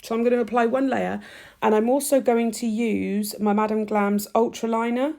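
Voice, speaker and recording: A woman with a stuffy, nasal voice, chatting warmly through a nail tutorial as she works. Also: a little high, steady tone, moderate pace, vocal fry. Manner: chatty, gushing, talks while working. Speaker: nail technician demonstrating gel polish. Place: home nail studio.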